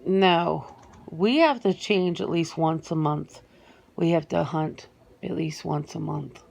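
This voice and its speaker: A woman playing a reluctant, sentimental female werewolf in a soft-spoken ASMR interview roleplay, speaking haltingly and repeating words. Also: low-pitched, gravelly, full character voice.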